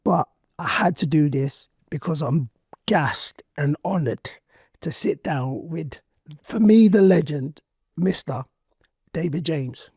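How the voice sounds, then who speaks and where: A man hosting a casual interview podcast, his voice hoarse and nearly gone, talking in excitable slang. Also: fairly high pitch, slow pace, no echo, studio quality. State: losing his voice. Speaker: podcast host.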